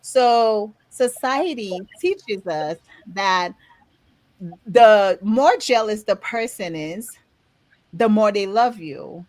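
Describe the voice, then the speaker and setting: A woman's voice: mid-range voice, very slow, no echo, poor audio. Frank Haitian woman telling stories, dry sound. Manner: frank, animated storytelling with frequent laughs. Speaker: podcast co-host. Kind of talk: candid conversational podcast chat.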